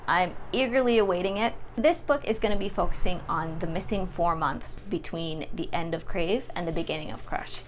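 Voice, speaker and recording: A young adult woman giving a casual, chatty book review as a vlogger talking to her audience. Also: lowish voice. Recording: clearly audible background noise.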